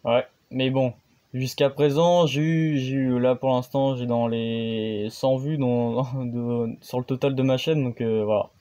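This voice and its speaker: Hesitant man with a mellow voice. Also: medium pitch, fairly even intonation, unhurried. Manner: hesitant, full of fillers and restarts. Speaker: amateur radio operator and channel host. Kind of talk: casual on-air explanation.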